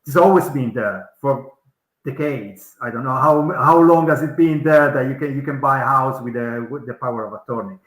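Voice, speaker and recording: A man hosting a live-stream Q&A over a video call, animated and posing hypothetical examples. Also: mid-range voice, normal speed. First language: Italian. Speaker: host. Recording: roomy echoey video call.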